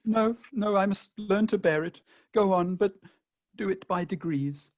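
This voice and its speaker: Man playing a high-voiced, martyred jilted gentleman. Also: unhurried, dry recording. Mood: martyred, sarcastic. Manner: pompous, declamatory. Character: wounded, martyred, sarcastic jilted gentleman suitor. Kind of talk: theatrical comic radio play acting.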